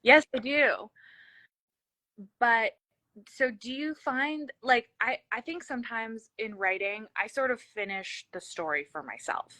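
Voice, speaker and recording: A woman's voice: fairly high pitch, a little slow, pushed and bright, dry recording. A woman hosting a casual, chatty interview, effusive and self-deprecating, rambling a little, with a slight lisp. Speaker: interview host.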